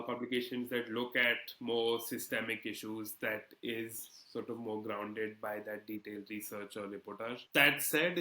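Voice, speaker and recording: A man working as an editor answers interview questions conversationally, in a measured, hesitant and thoughtful way. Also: mid-range voice, fairly bright tone. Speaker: magazine politics editor being interviewed. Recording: echoey room sound.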